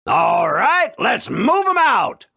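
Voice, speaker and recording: A man voicing a gruff, hearty adventurer comrade in a video game. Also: extremely high pitch, very animated, slow, sharp tone, heavily acted. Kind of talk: video game character acting.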